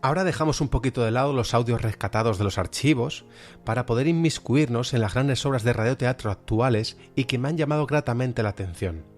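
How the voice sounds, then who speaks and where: A male podcast presenter with a Spanish accent from Spain talks in a medium-pitched voice. He reviews audio drama with enthusiasm, as if from loose notes. Faint music plays behind him. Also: fairly quick. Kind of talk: semi-scripted podcast review of radio drama. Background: background music.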